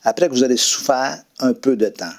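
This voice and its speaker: A French-speaking man with a voice of ordinary pitch talks about his faith as a guest pastor. He speaks haltingly, with many fillers and repeated words, and moves into a steady prayer. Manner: hesitant, many fillers and restarts. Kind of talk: testimony and prayer.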